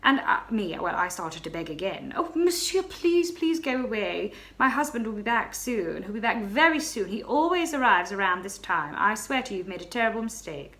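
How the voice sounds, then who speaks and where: A woman's voice: fairly low pitch, normal speed, hardly any pauses, bright, forceful tone. A woman voicing a flustered young married baroness who confides her misadventure, stammering and breathless.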